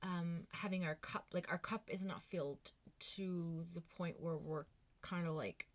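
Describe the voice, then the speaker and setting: A woman's voice: lowish voice, measured pace, highs cut off. A woman giving a casual tarot reading, rambling and encouraging, with lots of fillers and restarts. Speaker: tarot reader.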